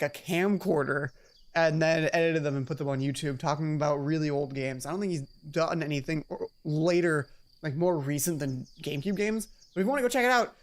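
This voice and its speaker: A woman hosting a let's play, reading a visual novel aloud with casual asides, often correcting herself and reworking lines. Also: low voice. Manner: animated, self-correcting, repeats lines to rehearse them. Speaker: let's play host and narrator. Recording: music playing behind the voice.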